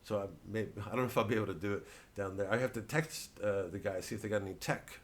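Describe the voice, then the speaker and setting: Male comedian, lowish voice, digressive satirical solo riffing, halting delivery with swearing. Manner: acts out mock quotes and voices. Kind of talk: rambling solo satirical monologue.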